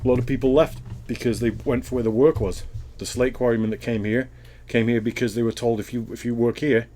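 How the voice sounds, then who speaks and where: A man's voice: mid-range voice, steady tone, fairly quick, mellow, a little hiss or hum. A Welsh man answering interview questions in a casual, thoughtful way, often rambling and restarting his sentences. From Wales.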